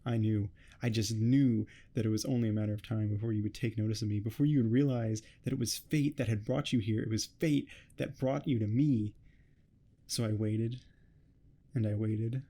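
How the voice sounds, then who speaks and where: Very dark-voiced obsessive neighbor, acted by a man. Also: fairly low pitch, fairly even intonation, normal speed. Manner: theatrical, grandiose monologuing, smugly threatening. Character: obsessive male neighbor, vampire-obsessed, menacing and delusional. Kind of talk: ASMR roleplay, dramatic monologue.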